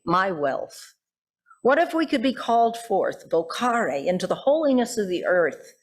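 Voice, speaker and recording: A woman pastor giving a sermon in deliberate, emphatic phrases, often posing rhetorical questions. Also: medium pitch.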